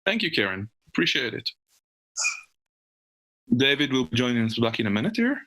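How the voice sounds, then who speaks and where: A man hosting a live conversation in a casual way. His voice is bright and forward, sitting at a medium pitch. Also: sing-song, normal speed, low-quality recording. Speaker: show host.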